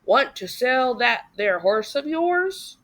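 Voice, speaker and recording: A woman's voice: high voice, very animated, slow pace, a bit bright, full character voice. A woman voicing a shrewd male horse trader from a storybook, with a brief, folksy, bargaining manner. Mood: blunt.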